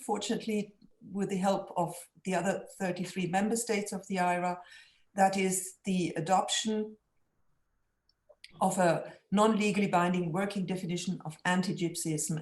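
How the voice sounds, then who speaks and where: A woman speaking as a guest in an online panel discussion, measured and careful, with frequent restarts. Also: fairly low pitch, darkish tone. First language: German. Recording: echoey room.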